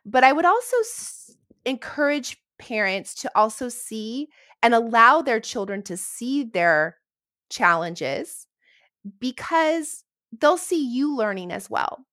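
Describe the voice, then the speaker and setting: A woman's voice: on the high side, sing-song, slow, bright, forceful tone, no echo, studio quality. A woman speaking as an educator guest in an interview, giving encouraging, reassuring advice in a conversational way.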